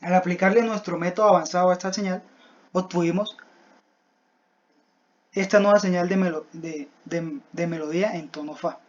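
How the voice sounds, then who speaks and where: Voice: mid-range voice, steady tone, moderate pace, pauses often, a little hiss or hum. Student presenter with dull, lo-fi sound. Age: young adult. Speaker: student presenting a class project. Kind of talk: scripted technical presentation. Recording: dull, lo-fi voice recording.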